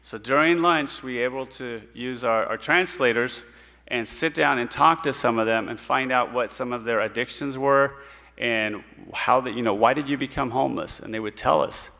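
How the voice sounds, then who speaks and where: A middle-aged man from Puerto Rico tells a church congregation about mission trips, earnest and unhurried, with frequent "uh"s between thoughts. His voice is bright and forceful, with a long hall echo from the sanctuary around him. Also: medium pitch, creaky. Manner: earnest, storytelling, pauses with fillers.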